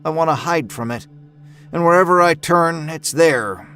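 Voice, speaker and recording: A man's voice: a little high, normal speed, fairly bright tone, soft background music. A man narrating a scripted first-person horror story in a wry, understated, dramatic way. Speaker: audio fiction narrator. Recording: narration over musical score.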